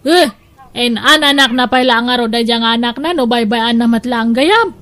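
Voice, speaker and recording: Woman acting a high-voiced, chatty village neighbor. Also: normal speed, fairly bright tone, natural voice. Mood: sympathetic, gossipy. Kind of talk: audio drama acting.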